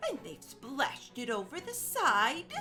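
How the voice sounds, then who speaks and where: A woman performs a middle-sized mother bear from a storybook, proper and put out. The voice is lifted and emphatic, slowly paced over music. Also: sits high, big pitch swings, pitched above the performer's own voice, full character voice. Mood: indignant. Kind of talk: children's storybook character voice.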